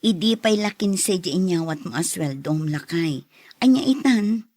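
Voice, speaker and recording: Patient, worried Ilocano housewife, dry recording. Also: female voice, fairly low pitch, expressive, normal speed, a little mellow, no room sound. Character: patient, worried housewife and mother, respectful, careful. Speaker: radio drama actor. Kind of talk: Ilocano radio drama acting.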